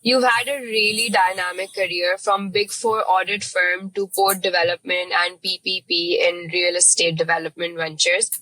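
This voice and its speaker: A woman hosts a finance interview with an upbeat, welcoming manner. Her voice is bright and forward, with a husky, breathy edge. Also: lowish voice, steady tone, moderate pace, few pauses, dry recording, low-quality recording. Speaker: podcast host. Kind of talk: friendly scripted interview opening.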